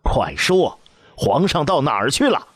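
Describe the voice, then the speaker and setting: A man's voice: medium pitch, very animated, normal speed, a little mellow, no room sound, studio quality, pitched above the performer's own voice. A man voicing an imperious old grand empress dowager, scolding her ministers in a commanding tone. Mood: furious. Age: older.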